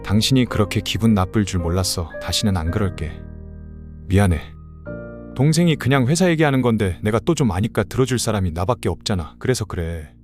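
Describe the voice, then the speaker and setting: A man's voice: lowish voice, very animated, brisk, darkish tone, soft background music. A man acting out a meek, apologetic husband pleading with his wife in a radio drama. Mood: apologetic, explaining.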